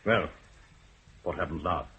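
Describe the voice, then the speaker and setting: A stubborn widowed country doctor. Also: male voice, low-pitched, bright, forceful tone. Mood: guarded. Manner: measured, authoritative, hospitable but insistent. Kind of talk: 1960s radio drama acting. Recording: muffled old radio broadcast, faint hiss.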